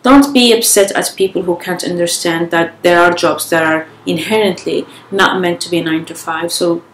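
A woman's voice: fairly low pitch, fairly even intonation. A young woman vlogger giving frank advice to the camera from her living room, sounding tired and a bit nasal from a cold. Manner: frank, unhurried, with small restarts. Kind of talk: casual advice talk to camera. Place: living room with sofa, indoors. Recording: echoey room.

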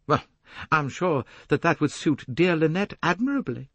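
Male narrator as young woman, high pitch, animated, bitter, intense, hard-edged, audiobook character. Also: moderate pace, no room sound, heavily acted. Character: Jacqueline, bitter, desperate young woman in love.

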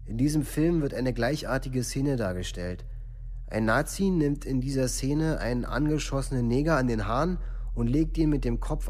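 A man's voice: medium pitch, darkish tone, breathy edge, dry recording. An actor playing a young male offender reciting a police confession in a formal, matter-of-fact way for a documentary radio drama.

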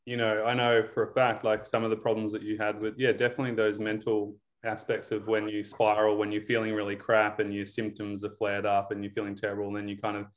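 A man's voice: lowish voice, completely flat delivery, fast talker, few pauses. A man in his thirties interviewing a guest over a video call, chatty with lots of fillers and laughs. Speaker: interviewer, recovery program coach. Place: indoor room.

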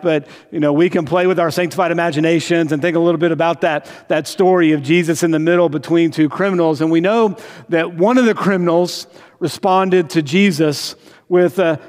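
An older man preaching a sermon as a pastor, conversational and full of rhetorical questions, slowing for emphasis on key phrases. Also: a little high, darkish tone. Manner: conversational, retelling with rhetorical questions and tag "right?". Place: large indoor church sanctuary. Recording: reverberant church hall sound.

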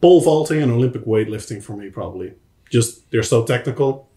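A man's voice: mid-range voice, animated, brisk, dark tone. A man in his thirties with a Dutch accent on a couch, giving short, dry, understated answers in a casual chat. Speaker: co-host answering viewer questions. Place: indoor room, on a couch. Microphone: lapel mic. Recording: echoey room.

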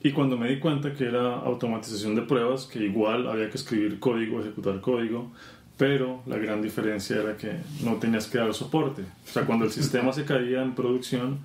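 A Colombian man guest talking casually about his work in software testing, relaxed with many fillers. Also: medium pitch, a little fast. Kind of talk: casual interview. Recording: roomy conference venue.

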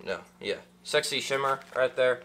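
A man's voice: medium pitch, moderate pace, pushed and bright, slight background noise. A young man hosting a fishing channel, unboxing gear in casual chat with lots of ums and uhs, seated in a small room. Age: young adult. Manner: rambling, many ums and uhs, restarts.

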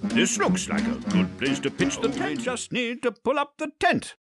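A woman's voice: on the high side, huge pitch swings, moderate pace, slightly rough. A woman narrating a children's game in an encouraging, praising, sing-song way. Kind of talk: scripted narration for young children. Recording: game audio over loud music.

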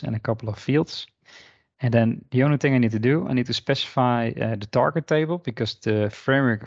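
A man narrating an unscripted screen-share software tutorial in an informal, explanatory way. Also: medium pitch, no room sound, highs cut off. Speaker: software demonstrator. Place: indoor voice-over for screen recording.

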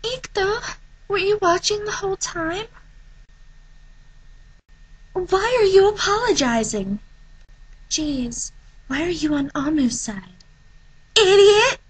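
A woman's voice: very high-pitched, expressive, slow pace, long pauses, voice pushed up, heavily acted, poor audio. A woman voicing a haughty teenage girl rival who taunts and challenges, for an anime fan dub audition. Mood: indignant. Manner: cool, taunting.

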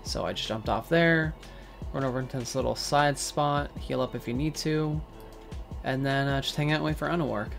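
A man giving a relaxed, casual walkthrough of a game over gameplay, with frequent fillers. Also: mid-range voice, moderate pace, vocal fry, faint music behind. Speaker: gaming guide creator.

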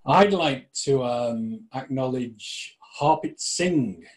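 A man hosting a community podcast, speaking in an unhurried, measured way with frequent ums. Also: mid-range voice, lively intonation. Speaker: podcast host.

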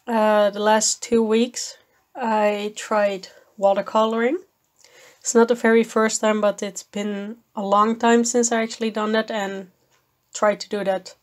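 Slow-talking woman losing her voice. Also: medium pitch, a little mellow. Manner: slow, thoughtful, with fillers and small laughs. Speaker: art channel host. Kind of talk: voice-over commentary on a painting video.

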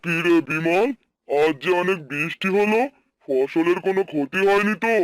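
A man's voice: sits high, normal speed, no room sound, close to an everyday voice. A man voicing a stern, demanding village headman and landowner in a cartoon. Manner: bossy, demanding. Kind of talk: Bengali cartoon dialogue dubbing.

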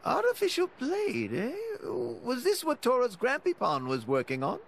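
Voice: medium pitch, wildly swooping pitch, a little slow, creaky, heavily acted. An actor playing an older furry male creature merchant in a video game, blustery and friendly, speaking in broken grammar. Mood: curious. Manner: broken grammar, drops articles, exclaims. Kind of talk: video game character acting.

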